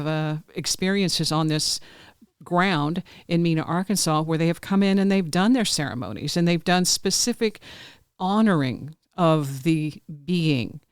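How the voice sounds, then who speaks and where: Smooth, clear host voice. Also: medium pitch, no echo, studio quality. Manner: chatty, earnest, quick affirmations. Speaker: podcast host. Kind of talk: casual interview chat.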